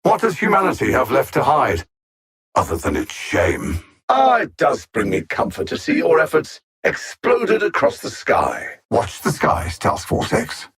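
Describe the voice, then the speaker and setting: A man playing a cold, superior alien machine conqueror in a video game, articulate and haughty, savoring his menacing taunts in a gravelly, husky voice. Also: medium pitch, huge pitch swings, unhurried, fairly bright tone, full character voice. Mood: smug menace. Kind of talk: theatrical video game villain acting.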